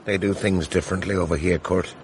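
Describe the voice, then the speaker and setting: A man's voice: sits low, fairly even intonation, fast talker, slight background noise, lightly acted. An actor playing a grave, troubled film cameraman, speaking tersely in a radio mystery drama. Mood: subdued.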